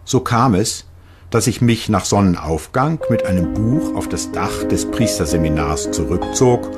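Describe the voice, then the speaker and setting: An actor playing a physicist who reads his reflective memoir aloud in a measured, literary way. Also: deep voice, lively intonation, loud music behind the voice. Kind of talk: scripted first-person memoir reading.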